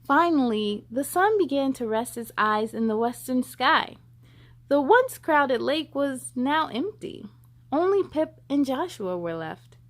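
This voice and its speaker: Female story time host: high voice, very lively swoops, dramatic storybook delivery aimed at kids. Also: unhurried. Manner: animated, dramatic, addressing children directly. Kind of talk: expressive storybook read-aloud and chat to young viewers.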